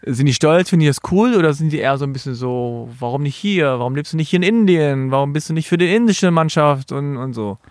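A man's voice: a little high, animated, quick pace, few pauses, a little mellow, dry recording. A man hosting an interview, asking long, rambling questions that he rephrases as he goes. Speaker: interviewer, host.

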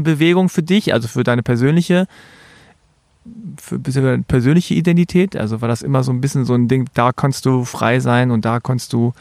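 A man's voice: mid-range voice, creaky, darkish tone, no echo. Guy interviewer, rambling rephrased questions, dry close sound. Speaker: interviewer, host.

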